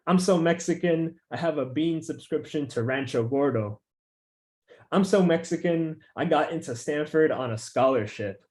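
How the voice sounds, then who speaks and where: A young man, a featured poet, who talks in a relaxed, loose way with plenty of filler words and reads his poems with an emphatic, rising refrain. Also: a little high, normal speed. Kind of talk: casual chat and poetry reading.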